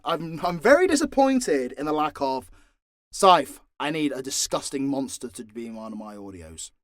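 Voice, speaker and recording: Man, medium pitch, casual unscripted Q&A, candid, chatty, sweary, dry echo-free sound. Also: sing-song, moderate pace, fairly bright tone. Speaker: voice actor and audio creator answering fan questions.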